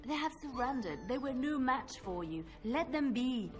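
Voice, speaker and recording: A woman playing a gentle but firm religious sister and peacemaker in a fantasy game, polite and composed. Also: high voice, lively intonation, normal speed, faint music behind. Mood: firm, merciful. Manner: polite, composed, pleading for mercy.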